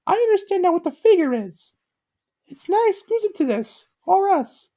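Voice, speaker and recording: Woman doing a squeaky little clay boy voice, way up high, scared and innocent. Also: very animated, normal speed, warm tone, heavily acted. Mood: mournful.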